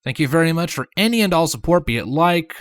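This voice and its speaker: A man's voice: on the high side, expressive, fast talker, no room sound, studio quality. A man hosting a fan fiction channel, addressing listeners in an outro.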